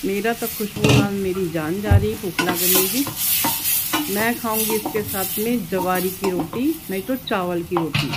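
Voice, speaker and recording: A woman's voice: mid-range voice, unhurried. A woman hosting a cooking video in her home kitchen, talking homely and instructive and repeating words for emphasis. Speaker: cooking channel host. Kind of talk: chatty cooking narration. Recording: loud sizzling pan noise. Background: frying and stirring sizzle.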